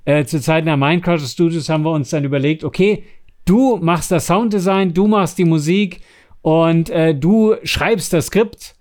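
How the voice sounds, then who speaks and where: A man's voice: fairly high pitch, a little mellow. A man co-hosting a podcast, chatting casually and giving tips, often stumbling over the starts of his words. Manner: halting, stammering over word starts. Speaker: podcast co-host.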